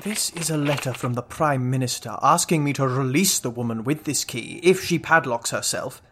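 An actor playing a pompous, blustering English army general in a comic radio play, imperious and easily outraged. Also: male voice, medium pitch, moderate pace, sharp tone, slight background noise, close to an everyday voice. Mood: irritated.

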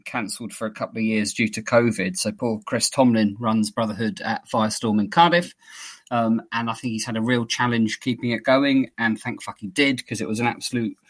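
Chatty, swearing man. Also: fairly low pitch. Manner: chatty, laughs often, swears freely. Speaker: podcast host. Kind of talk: casual chat.

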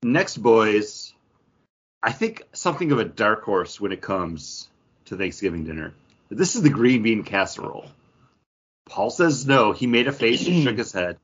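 A man's voice: on the low side, big pitch swings, measured pace, fairly bright tone, slightly rough. A man hosting a podcast in a casual, conversational style. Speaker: podcast host. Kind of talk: casual chat, ranking foods. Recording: dull, muffled audio.

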